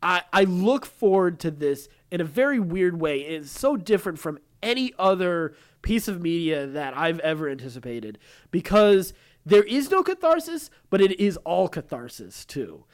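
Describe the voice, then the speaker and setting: A man's voice: high-pitched, sing-song, moderate pace. A man co-hosting a podcast, talking casually in an earnest, effusive and rambling way. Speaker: podcast co-host. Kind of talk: casual pop-culture discussion.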